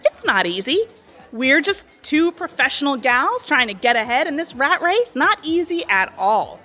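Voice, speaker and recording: A woman's voice: high-pitched, sing-song, moderate pace, sharp tone, faint music behind, full character voice. An actress playing a chatty, pushy, sly cocktail waitress in her thirties, breezy and overly familiar, in an audio drama. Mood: chatty, griping. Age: 30s. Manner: breezy, familiar, insinuating, talkative.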